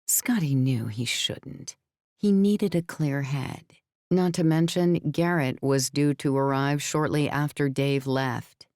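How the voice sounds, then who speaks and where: Female audiobook narrator: deep for a woman, crisp scripted storytelling, very dry studio recording. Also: slow pace, a little mellow, dry recording.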